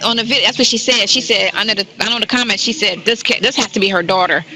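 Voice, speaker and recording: A woman's voice: medium pitch, fast, bright, forceful tone, low-quality recording. A woman in her fifties chatting casually on a call, blunt and slangy as she tells stories and quotes what others said. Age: 50s. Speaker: caller on a prison call. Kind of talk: casual gossipy phone chat.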